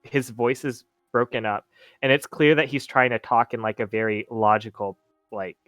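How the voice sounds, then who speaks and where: A man's voice: medium pitch, fairly even intonation, moderate pace, fairly bright tone, no room sound, faint music behind. A man playing in a tabletop roleplaying game, describing what his character does in improvised narration.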